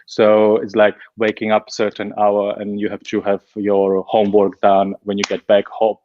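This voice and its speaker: A man's voice: fairly low pitch, monotone, moderate pace, dark tone, a little hiss or hum. Man in his forties, lo-fi sound. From Slovakia. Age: 40s. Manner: chatty, laughs easily, many fillers and restarts. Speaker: guest. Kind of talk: casual interview talk.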